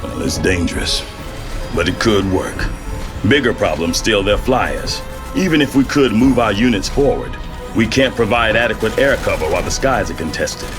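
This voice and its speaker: An actor playing a gruff, battle-worn older American general in a video game, with blunt folksy military delivery. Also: male voice, fairly low pitch, lively intonation, normal speed, natural voice. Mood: grave briefing. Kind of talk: dramatic video game acting. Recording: dramatic music behind.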